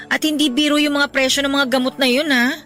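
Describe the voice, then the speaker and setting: A woman's voice: high-pitched, flat delivery, fast talker, sharp tone, faint music behind. A woman with a Filipino accent playing a stressed young breadwinner who confides her heartbreak plaintively in a Tagalog radio drama. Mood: troubled, frustrated.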